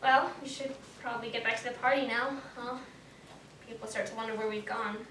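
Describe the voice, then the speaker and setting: A woman acting a friendly young confidante in a stage play, bright and slightly high in voice. She speaks candidly and reassuringly, heard from a little way off. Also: fairly even intonation, brisk, lightly acted. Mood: reassuring, confiding. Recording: echoey stage, distant mic, faint noise.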